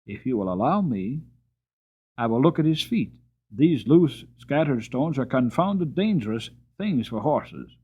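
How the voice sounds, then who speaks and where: A man's voice: medium pitch, very animated, unhurried, slightly rough. A man voicing a kindly but firm farmer who speaks politely and with emphasis. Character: kindly, firm middle-aged male farmer. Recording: tinny phone-like audio.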